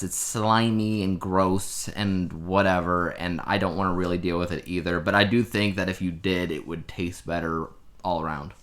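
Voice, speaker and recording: A man's voice: low voice, fairly bright tone. A man co-hosting a casual chat show, explaining his opinions in a conversational way. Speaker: podcast co-host.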